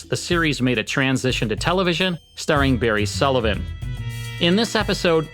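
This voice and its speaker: A man delivers a scripted podcast introduction. His voice sits at an average pitch, with light music underneath. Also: a bit bright. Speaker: podcast host. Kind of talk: scripted narration.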